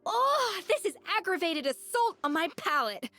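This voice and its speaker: A woman voicing a confident female head chef with dry, withering wit and a calm, commanding manner. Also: very high-pitched, moderate pace, exaggerated voice. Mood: composed. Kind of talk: game voice acting. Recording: game audio with soft music.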